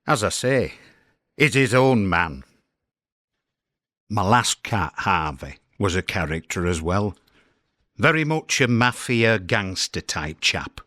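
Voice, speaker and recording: British male cat owner played by an actor, chatty and wry, very lively intonation, close dry sound, comic radio monologue. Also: fairly low pitch, takes it slowly, pauses often, dry recording, full character voice. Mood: wry, fond. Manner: wry, conversational storytelling with emphatic swoops. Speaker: actor performing a comedy monologue.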